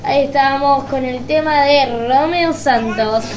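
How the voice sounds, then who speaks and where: A woman hosts a radio show in a high voice. She talks slowly and casually as she introduces segments. Music plays behind her.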